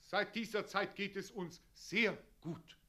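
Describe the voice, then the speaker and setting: A man's voice: high voice, slow pace, sharp tone, exaggerated voice. An actor playing a servile townsman in a radio play, dutifully reciting praise in a stilted, declamatory manner. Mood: stilted jubilation.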